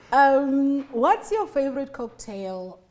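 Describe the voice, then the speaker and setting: A woman's voice: high voice, darkish tone. A woman with a South African accent hosting a casual interview, chatty and teasing, with frequent laughter. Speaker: talk show host.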